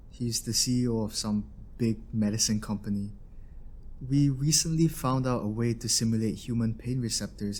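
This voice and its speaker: A man's voice: lowish voice, a little mellow, close to an everyday voice. A man playing a kindly, protective engineer and inventor in an audio roleplay, talking in a casual, reassuring way. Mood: explaining.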